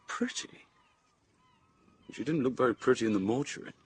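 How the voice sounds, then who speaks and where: A man's voice: medium pitch, expressive, normal speed, slight background noise, lightly acted. Man acting a smug, clipped British inspector. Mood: curt. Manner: clipped, self-satisfied, patronising. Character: brisk, smug modern English police inspector, condescending. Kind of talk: radio drama acting.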